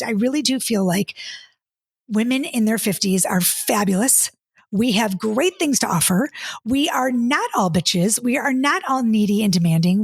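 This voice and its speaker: A woman in her fifties hosts her podcast in a loose, exasperated, chatty way, stumbling over restarts as she reads comments aloud and answers them. Her voice sits a little high and carries a slight husky edge. The recording is dry and close, with no room sound. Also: no echo. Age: 50s. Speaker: podcast host and dating coach. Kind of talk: casual solo podcast chat.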